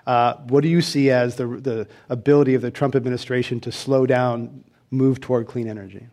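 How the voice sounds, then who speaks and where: A man's voice: medium pitch, steady tone, darkish tone. Upbeat male panel moderator. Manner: upbeat, brisk introductions and questions. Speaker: host and moderator.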